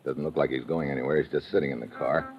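An actor playing a tough, wry American private investigator in old radio detective style, laconic and slangy. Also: male voice, a bass voice, fast talker. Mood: wry, watchful. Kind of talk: 1950s radio detective acting. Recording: muffled old radio recording with music.

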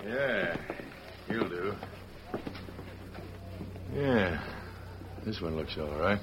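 Deep, gruff crooked saloon boss. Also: male voice, expressive, drawn-out words, exaggerated voice. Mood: brisk, commanding. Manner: blunt, slangy tough talk. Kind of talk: old-time radio western acting. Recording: muffled old radio recording, background noise.

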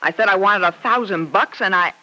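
An actress playing a hard-boiled, scheming moneylender in an old radio soap, curt, tough and slangy. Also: female voice, medium pitch, fairly quick, sharp tone, natural voice. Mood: hard, threatening. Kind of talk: 1940s radio soap opera acting. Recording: faintly noisy vintage radio drama recording.

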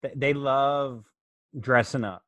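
A man's voice: mid-range voice, steady tone, slow, a bit bright, no echo. A man chatting casually as a comedy podcast host, loose, rambling and jokey.

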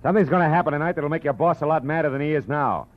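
An actor playing a hard-boiled private detective in a 1950s radio drama, narrating and trading dry, sarcastic wisecracks. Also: male voice, fairly high pitch, racing, close to an everyday voice. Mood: tough. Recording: muffled old radio broadcast with music.

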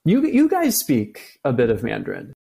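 Man with a gravelly voice. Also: medium pitch, wildly swooping pitch, moderate pace, mellow. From American Midwest. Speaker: podcast guest, Mandarin teacher. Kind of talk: casual podcast interview.

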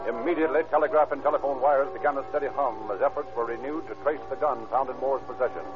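A man's voice: mid-range voice, fast talker, natural voice. An actor as the authoritative male narrator of an old-time radio crime drama, formal and clipped in delivery. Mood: grave, urgent. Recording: muffled vintage radio recording with music.

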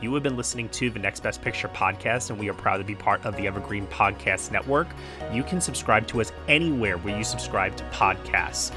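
A man's voice: fairly low pitch, a little fast. A man hosting a podcast, delivering a scripted sign-off to listeners. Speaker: podcast host. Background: music.

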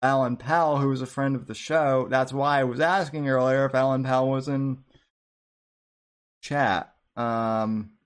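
A man's voice: mid-range voice, steady tone, measured pace. A man hosting a casual livestream chat, hesitant and often repeating the first words of his sentences. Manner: hesitant, repeats words and stammers over starts. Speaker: talk show host.

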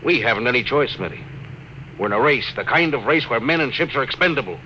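A seasoned, weary starship captain in a classic radio drama. His voice is sharp and forceful, with brisk, clipped authority. Faint noise of an old broadcast recording sits around him. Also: medium pitch, animated, low-quality recording. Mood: weary, sober. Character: weary, gruff, determined veteran spaceship captain.

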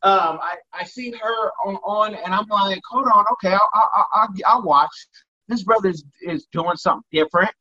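High bright-voiced male host, lo-fi call. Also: normal speed. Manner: rambling, repeats words, enthusiastic. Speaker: interview host. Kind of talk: casual interview chat. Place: home kitchen.

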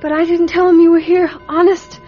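A woman's voice: very high voice, little pitch movement, brisk, soft background music. A woman playing an earnest, curious nine-year-old farm girl in a radio drama, with a childlike, hesitant, pleading manner. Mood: earnest, worried. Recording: dull radio recording, faint noise.

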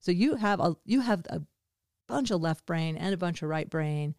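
Woman, 60s, podcast host. Smooth, lowish, supportive, dry close mic. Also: animated, normal speed, a little mellow, no echo. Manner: supportive, finishes the guest's sentences. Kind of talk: casual podcast conversation.

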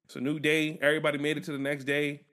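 A man co-hosting a podcast, talking in a casual, animated and profane way as he tells a story. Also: fairly high pitch, very fast. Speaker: podcast co-host. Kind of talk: casual chat.

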